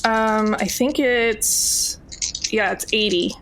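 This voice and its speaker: A woman's voice: on the high side, slow pace, a bit bright, a little hiss or hum, poor audio. A woman playing a tabletop game, talking casually and thinking aloud in a conversational way.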